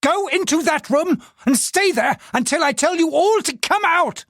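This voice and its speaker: An audiobook narrator voicing a furious middle-aged male tycoon who shouts and snaps orders at his household. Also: extremely high pitch, expressive, normal speed, no room sound, studio quality, voice pushed up, full character voice. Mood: enraged. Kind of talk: audiobook character voice.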